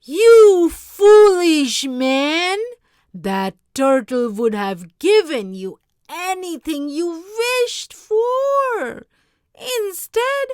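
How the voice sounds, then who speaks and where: A woman's voice: very high voice, dry recording, clean studio sound, pitched above the performer's own voice, full character voice. A woman voicing a greedy fisherman's wife in a storybook, scolding and giving imperious orders. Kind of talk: read-aloud storybook character voice.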